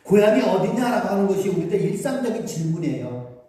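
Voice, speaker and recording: Echoey distant Korean pastor preaching. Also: male voice, high voice, big pitch swings, brisk, dark tone. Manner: storytelling, acting out voices of villagers and asking the congregation questions. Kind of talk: Christmas sermon. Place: church sanctuary. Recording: very echoey church hall, voice from some distance.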